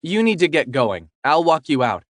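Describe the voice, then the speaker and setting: A man voicing a young girlfriend who is teasing and affectionate, then sharp and questioning. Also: fairly high pitch, sing-song, normal speed, no echo, clean studio sound, voice pushed up. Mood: upset, questioning.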